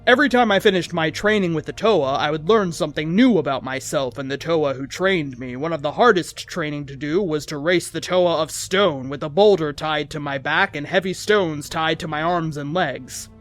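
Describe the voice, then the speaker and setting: Higher-pitched man narrating a story. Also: moderate pace, hardly any pauses, a bit bright. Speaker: host reading fan fiction. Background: background music.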